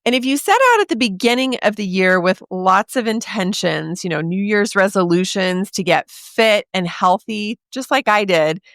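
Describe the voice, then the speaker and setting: A woman in her forties hosting a podcast, talking straight to the listener in a chatty, encouraging way, her voice a little raspy from a sore throat. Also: mid-range voice, expressive, normal speed, hardly any pauses, fairly bright tone, no room sound, studio quality. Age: 40s. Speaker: podcast host. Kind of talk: casual podcast monologue.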